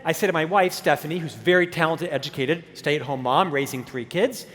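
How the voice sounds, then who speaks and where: Animated storytelling man in his fifties. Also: fairly high pitch, fairly quick. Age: 50s. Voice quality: stutter. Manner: animated storytelling with self-deprecating humor. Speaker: keynote speaker. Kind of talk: motivational conference talk. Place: large conference hall stage. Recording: long reverb of a conference hall.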